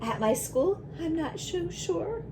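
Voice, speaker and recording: A woman's voice: extremely high pitch, animated, slow pace, background noise, voice pushed up, heavily acted. A woman voicing an imaginative little girl in a storybook, with a dramatic, emphatic delivery. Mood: nervous. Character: imaginative brave little girl starting school.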